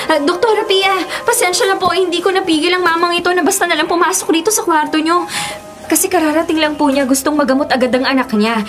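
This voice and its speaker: An actress playing a firm but polite, officious nurse who gets flustered, in a Filipino radio drama. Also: female voice, very high voice, fairly even intonation, quick pace, a bit bright, soft background music. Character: firm, flustered public hospital nurse, a woman. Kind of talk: Tagalog radio drama acting.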